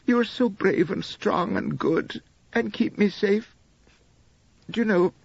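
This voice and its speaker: A man voicing a sweet, silly, adoring young British wife, gushing artlessly in a breathy audiobook performance. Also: high voice, slow pace, dry recording, muffled recording, voice pushed up, heavily acted. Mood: tender, adoring. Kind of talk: audiobook character acting.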